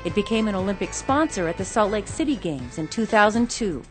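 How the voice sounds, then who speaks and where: A woman reporter reading scripted news narration in a clear, measured way over a music bed. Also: medium pitch, animated.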